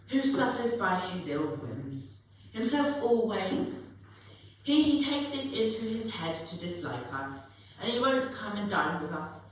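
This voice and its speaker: Woman acting a good-humoured young gentleman, lively swooping intonation, medium female pitch, echoey distant stage reading. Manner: animated, genial. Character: Scrooge's cheerful, forgiving nephew Fred, young man. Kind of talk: dramatic reading of Dickens. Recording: very echoey room, distant mic, faint noise.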